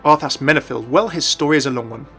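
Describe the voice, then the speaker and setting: A man's voice: medium pitch, fast talker, bright, forceful tone. A man narrating a scripted gaming explainer in a chatty way with wry asides. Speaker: video narrator and game commentator. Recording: voice-over with light background music. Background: background music.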